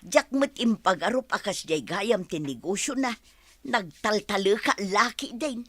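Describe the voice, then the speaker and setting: A woman's voice: medium pitch, expressive, measured pace, fairly bright tone, no room sound. A woman playing an earnest, chastened wife in a radio drama. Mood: contrite, earnest. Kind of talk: radio drama acting in Ilocano.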